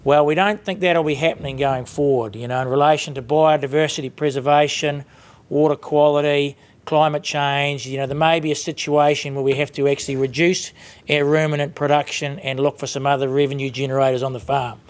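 A man's voice: on the high side, steady tone, a little fast. A man in his fifties with a New Zealand accent gives an informal, conversational and rambling closing speech at a podium as an industry leader. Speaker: industry leader giving closing conference address. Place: conference room with seated audience, indoors. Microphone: lectern microphones.

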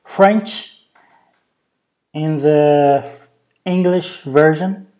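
A native Brazilian Portuguese speaker with a Brazilian Portuguese accent, speaking hesitant English with many pauses in a casual show-and-tell vlog recorded at home on a handheld camera. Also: mid-range voice, a little mellow. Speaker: vlogger. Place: indoors at home, over a bed.

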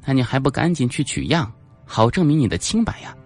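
A teasing, jealous young policewoman acted by a male audiobook reader. The voice is pitched up and lively, with a bossy edge. She sounds sharp-tongued and quick to scold. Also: soft background music. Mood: sly teasing. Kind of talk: audiobook character acting.

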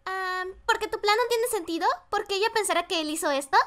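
A woman's voice: extremely high pitch, fast. A woman voicing a scheming, bossy, impatient teenage girl in an amateur cartoon dub, with a Latin American accent. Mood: skeptical. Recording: loud music behind fan dub.

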